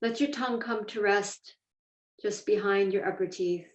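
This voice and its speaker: A woman teaching a guided meditation, speaking in a measured, deliberate way with pauses between phrases. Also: medium pitch, fairly even intonation. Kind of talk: guided meditation, slow instruction. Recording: echoey room, distant mic, dull sound.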